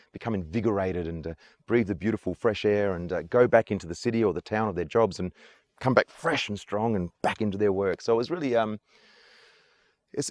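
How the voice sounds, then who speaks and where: Fast-talking, low-voiced Australian man. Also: darkish tone. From Adelaide, South Australia. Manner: enthusiastic and earnest, repeats his praise. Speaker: tour guide. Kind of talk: casual interview answers. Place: outdoors on an island.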